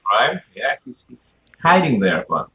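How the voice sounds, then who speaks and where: A man's voice: medium pitch, sing-song, a little slow, sharp tone, husky, poor audio. A man in his thirties with a Mexican accent, a musician chatting casually as an interview guest. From Mexico City, Mexico. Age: 30s. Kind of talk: casual chat.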